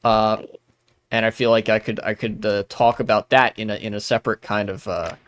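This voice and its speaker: A man's voice: fairly low pitch, fairly even intonation, fairly quick, fairly bright tone, clear, resonant tone. A young man streaming while gaming, talking casually with many restarts and blunt asides.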